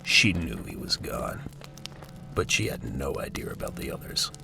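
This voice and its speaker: A man's voice: a bass voice, very animated, normal speed, vocal fry. A man narrating a scripted audio drama in a dramatic storytelling style. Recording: music bed under the voice.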